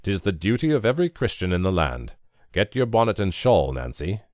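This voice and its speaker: A man's voice: low voice, sing-song, a little fast, darkish tone, no echo, studio quality. A man playing a stern, lordly old churchgoing man who talks as if preaching. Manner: pompous, preachy.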